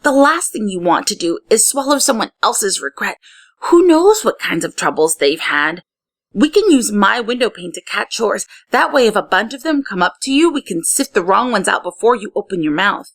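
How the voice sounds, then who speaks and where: A woman's voice: mid-range voice, sing-song, normal speed, fairly bright tone. A woman voicing a confident, instructive young sea child guide in a storybook read-aloud. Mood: cheery, brisk.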